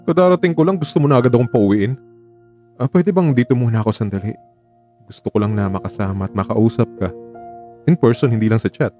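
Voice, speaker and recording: A man playing a guarded young professional warming to his lover in a radio drama, talking in a casual, reticent way. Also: medium pitch, fast, close to an everyday voice. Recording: voice over background music.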